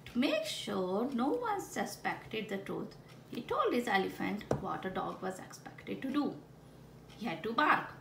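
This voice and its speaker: A woman's voice: medium pitch, big pitch swings, measured pace, gravelly. A woman reading a picture book aloud expressively, with exaggerated storytelling and playful asides for children. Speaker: children's story reader with a frog puppet. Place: indoors at a wooden table. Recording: roomy home recording with background noise.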